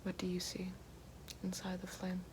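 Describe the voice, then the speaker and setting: A woman playing a calm, gentle witch in an ASMR roleplay, whispering slowly and soothingly right at the mic and repeating soft praise. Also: fairly low pitch, lightly acted. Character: calm gentle female witch guiding elements. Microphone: close binaural-style mic. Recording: noisy hiss behind voice.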